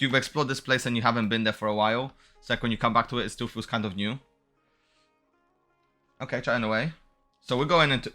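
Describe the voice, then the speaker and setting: A man's voice: medium pitch, bright, forceful tone. A man doing casual, slangy and chatty livestream commentary while gaming, sometimes reading dialogue aloud. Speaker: game streamer.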